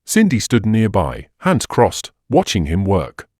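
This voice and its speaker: Male mystery narrator: measured, dramatic, lowish voice, expansive intonation, dry studio-like sound. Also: huge pitch swings, darkish tone, slightly rough, dry recording. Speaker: audiobook narrator. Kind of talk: scripted narration of a detective mystery.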